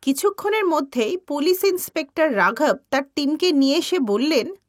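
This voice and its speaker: A woman with a Bengali accent reading a storybook in an even, dramatic way. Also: sits high, normal speed, slight background noise. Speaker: narrator. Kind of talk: scripted storybook narration.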